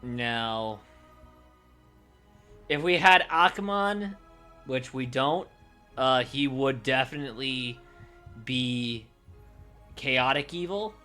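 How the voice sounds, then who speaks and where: A man streaming casually, thinking aloud in a musing, hesitant way with pauses mid-thought. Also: medium pitch, animated, bright, forceful tone, soft background music.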